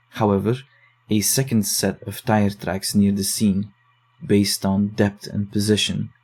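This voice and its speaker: A man's voice: on the low side, dark tone, slight background noise, close to an everyday voice. A man playing a reluctant investigator who reads eerie case files aloud in an audio drama, then argues warily with a friend. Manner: reluctant, wary, exasperated in banter. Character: young man digging through eerie case recordings. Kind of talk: audio drama narration and dialogue.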